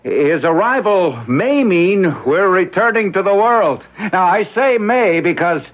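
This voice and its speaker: An actor playing an earnest, hesitant small-town mayor in a classic radio drama, speaking deliberately and haltingly, with grave hope. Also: male voice, high-pitched, expressive. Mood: anxious public address. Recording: dull vintage radio recording.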